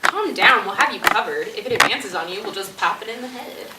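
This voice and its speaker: A woman's voice: medium pitch, lively intonation, a little fast, natural voice. A woman playing a practical, exasperated peacemaker among zombie-outbreak survivors in an amateur comic stage play. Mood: reasoning. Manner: reasoning, bossy, exasperated. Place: indoor stage performance, recorded from afar. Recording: distant, roomy stage recording, loud noise.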